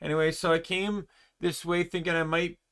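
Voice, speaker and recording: A man's voice: a little high, little pitch movement, moderate pace, a bit bright, a little hiss or hum. A man reading the part of an anxious, chatty game creature in a flustered, colloquial, dramatic way. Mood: relieved.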